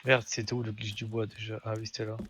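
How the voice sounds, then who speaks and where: A young man with a slightly husky voice, bantering in slang and teasing his friends during a game. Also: fairly low pitch, level tone, fast talker, a bit bright, a little hiss or hum. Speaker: gamer playing with friends. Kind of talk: casual banter during gameplay.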